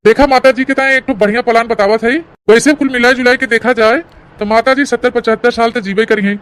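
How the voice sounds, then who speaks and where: Highish awadhi village insurance guy woman doing him, patient pushy salesman patter, cartoon comedy. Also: steady tone, quick pace, smooth, natural voice. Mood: persuasive. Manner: explanatory, salesmanlike, colloquial. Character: patient, persuasive male village insurance agent.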